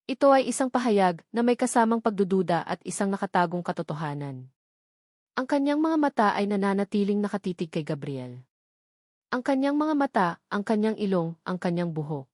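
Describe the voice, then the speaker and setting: Female story narrator, medium pitch, scripted romantic drama, very little room sound. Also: a little mellow, no room sound, studio quality.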